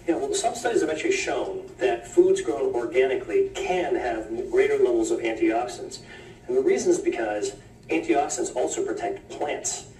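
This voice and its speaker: A man speaking as a guest expert on a television show, calm and explanatory, heard off a TV in a room. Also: medium pitch, moderate pace, roomy sound. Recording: TV audio played in a room, from far off.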